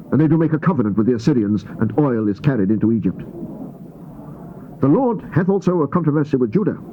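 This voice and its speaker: A man reads scripture in a solemn, dramatic style, declaiming each phrase with weight and deliberate pauses. His voice sits at a medium pitch. The recording is dull, like an old cassette, with faint noise underneath. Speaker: scripture narrator. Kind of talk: dramatized Bible reading, solemn and theatrical. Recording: dull, noisy old cassette tape transfer.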